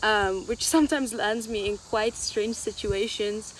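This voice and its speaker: A woman's voice: mid-range voice, a little slow. A nineteen-year-old woman student who grew up in Tanzania, introducing herself. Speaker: university student, columnist nominee. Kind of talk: self-introduction to camera. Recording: noisy outdoor ambience with insects.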